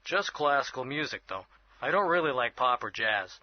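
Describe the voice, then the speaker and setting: A man in his thirties acting an earnest reporter introducing himself slowly. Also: medium pitch, expressive, close to an everyday voice. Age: 30s. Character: earnest male reporter and music lover. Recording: dull lo-fi audio.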